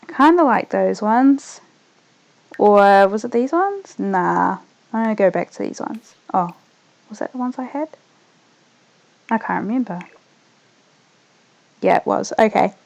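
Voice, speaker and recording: A young woman with a New Zealand accent tinged slightly Australian, chatting loosely as a streamer while she plays, trailing off quietly as she concentrates. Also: medium pitch, animated, a little slow, gravelly, long pauses, poor audio. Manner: rambling, trails off softly when focused on the game. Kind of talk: casual gaming livestream chat.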